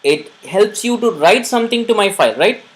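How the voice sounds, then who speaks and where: A man's voice: high voice, expressive, fairly quick. A male instructor talks through a beginner programming lesson in a live online session. He goes over each point more than once and stops often to ask whether his listeners are following.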